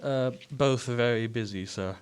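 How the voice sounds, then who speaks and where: A man playing a polite, hesitant charity collector in a radio drama, courteous and halting. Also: mid-range voice, clean tone, close to an everyday voice.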